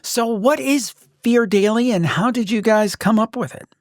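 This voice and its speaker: A man's voice: high-pitched, moderate pace, dry recording, clean studio sound. A man hosting a podcast, speaking conversationally as an interviewer who shares asides of his own. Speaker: podcast host.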